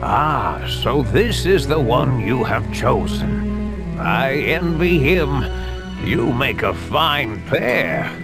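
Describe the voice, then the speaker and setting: A man's voice: medium pitch, sing-song, extremely slow, exaggerated voice. A man voicing a kindly, teasing, ancient sage with old-fashioned, grandfatherly speech in a video game. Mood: wistful, amused. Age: older. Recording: game music behind voice. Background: music.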